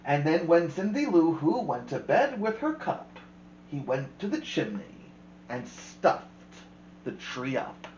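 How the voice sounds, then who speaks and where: A woman host reading a children's rhyming picture book aloud with expressive, rhythmic delivery. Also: sits low, unhurried, roomy sound, lo-fi sound, a little hiss or hum. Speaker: storyteller host.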